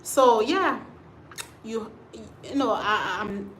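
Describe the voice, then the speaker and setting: A woman's voice: on the high side, expressive, drawn-out words, fairly bright tone, echoey room, slight background noise. A woman from Liberia, hosting a casual livestream chat and answering viewer comments. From Liberia, West Africa. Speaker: livestream host. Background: storm and rain outside.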